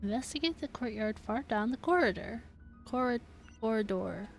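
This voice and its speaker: A woman's voice: fairly high pitch, expressive, normal speed, creaky. A woman game streamer giving casual commentary as she plays and reads bits aloud. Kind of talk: casual gameplay commentary, reading quests aloud. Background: game music.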